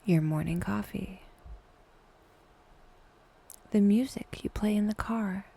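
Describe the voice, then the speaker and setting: A woman guiding a meditation in a soft, breathy, often near-whispered voice, speaking in short phrases with long pauses. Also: medium pitch. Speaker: meditation guide, podcast host. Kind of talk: guided meditation, soft slow scripted narration.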